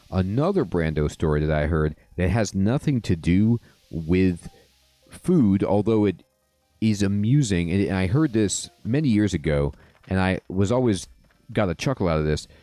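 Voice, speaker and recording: A man hosting a casual let's-play, telling stories in a rambling, drawn-out way with wry asides. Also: low-pitched, mellow, no room sound. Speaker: gaming host.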